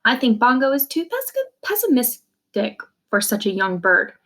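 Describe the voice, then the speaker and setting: A woman's voice: a little high, sing-song, normal speed, a bit bright. A woman reading a children's novel aloud like a teacher, expressively, in the first person, with simple explanations for young listeners. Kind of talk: read-aloud storytelling with brief explanations.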